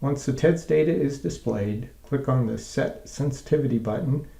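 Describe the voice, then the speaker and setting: A man narrating a scripted software tutorial in a measured, methodical, step-by-step way. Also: medium pitch, vocal fry, roomy sound.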